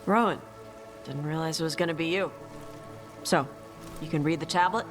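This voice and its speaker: Guy voicing blunt young game warrior, terse, slightly high voice, music in the back. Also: normal speed, lightly acted. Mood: casual. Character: blunt, gruff young dragon-blooded Qunari warrior, exasperated. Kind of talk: video game dialogue.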